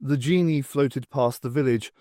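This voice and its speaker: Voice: medium pitch, moderate pace, dark tone, no echo, studio quality. An audiobook narrator reading scripted fiction.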